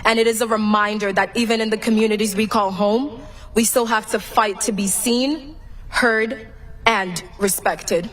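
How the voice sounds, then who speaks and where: A young woman from Cameroon who is her class president, giving an earnest, measured graduation speech read from a prepared text. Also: medium pitch, fairly even intonation, background noise. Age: young adult. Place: large graduation venue.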